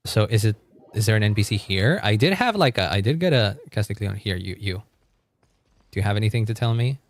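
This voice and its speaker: A man's voice: fairly low pitch, expressive, brisk. A man livestreaming a game, chatting casually with viewers in a rambling, informal way with some mild swearing.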